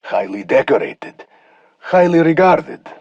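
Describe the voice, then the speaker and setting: A man's voice: fairly high pitch, very animated, a little slow, a little mellow, slightly rough, dry recording, muffled recording, heavily acted. A man playing a world-weary, wry former special forces soldier in a video game. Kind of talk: scripted game voice lines.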